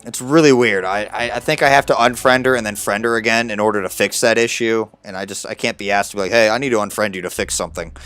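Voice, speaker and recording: A man's voice: lowish voice, fairly even intonation, fast, few pauses, a bit bright, clean tone, no room sound, soft background music. A young American man giving casual, sarcastic commentary while streaming a game, grumbling about his bad luck. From United States.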